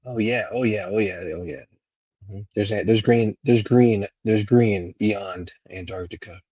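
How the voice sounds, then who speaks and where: A husky man with a fairly low voice hosts a casual livestream. He rambles without a script, halting and letting thoughts trail away. The sound is close, dry and slightly dull. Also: dark tone, no room sound. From Venezuela. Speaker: live stream host. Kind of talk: casual unscripted livestream monologue.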